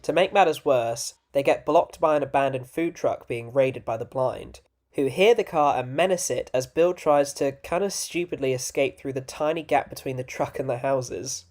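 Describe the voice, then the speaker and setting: A man's voice: mid-range voice, moderate pace, a little mellow. A man narrating a scripted review, dropping in informal, opinionated and wry asides. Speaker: video essay reviewer and narrator.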